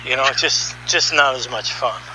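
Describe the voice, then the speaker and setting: A man giving an opinionated, conversational monologue as a podcast host, sardonic and animated, throwing out rhetorical questions. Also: mid-range voice, normal speed, background noise. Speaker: podcast host and audio artist. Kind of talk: opinionated monologue, reading article excerpts aloud.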